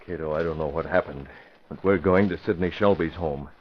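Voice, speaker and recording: An actor playing a calm, commanding masked crime fighter who speaks in a firm, clipped way, in 1940s radio acting style. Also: male voice, extremely low pitch, a little fast. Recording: dull old radio broadcast with hiss.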